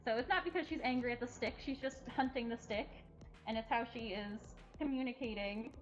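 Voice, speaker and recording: Very high-voiced woman explaining casually. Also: fairly quick. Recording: dull lo-fi livestream audio. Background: faint music.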